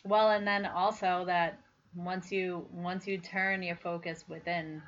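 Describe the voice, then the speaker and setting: A woman in her forties with a somewhat low voice co-hosts an earnest, heartfelt conversation. She often pauses to search for words. The sound is dull and lo-fi. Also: level tone. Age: 40s. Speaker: podcast co-host. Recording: dull lo-fi recording.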